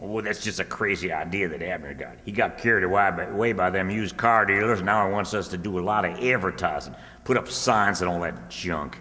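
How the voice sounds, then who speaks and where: Man as low-voiced, bossy country storekeeper. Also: fairly quick, few pauses, bright, forceful tone. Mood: dismissive. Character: Lum, practical, bossy, shrewd rural storekeeper. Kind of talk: old-time radio comedy acting. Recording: echoey hall, long reverb.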